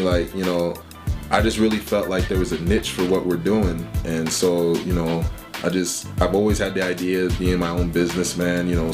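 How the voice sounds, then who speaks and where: A young man talking casually about his music label, with lots of "you know" fillers. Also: low voice. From Liberia. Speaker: rapper and label owner. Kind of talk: casual sit-down interview. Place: small home studio indoors.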